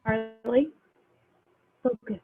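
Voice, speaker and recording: A woman playing a cool, blunt, wry female fighter with a husky, creaky voice, in a table reading over a tinny call. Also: a little high, steady tone, drawn-out words, soft background music, full character voice. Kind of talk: amateur table reading of a film script. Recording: tinny, phone-like video-call audio.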